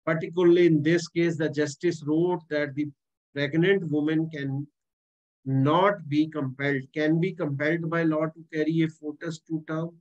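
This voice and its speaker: A male law lecturer with an Indian English accent, pitched a touch higher than most men. He speaks emphatically and keeps circling back to repeat key points and dates. The sound is dull and lo-fi. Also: steady tone, moderate pace, a little mellow. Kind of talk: exam-prep lecture. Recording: dull lo-fi recording.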